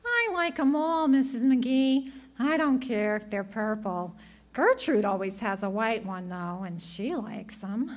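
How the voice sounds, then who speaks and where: Woman doing ditzy cheerful girl from old radio comedy, high pitched, slow, sweet. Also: animated. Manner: guileless, drawn-out, airheaded. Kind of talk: 1940s radio comedy acting.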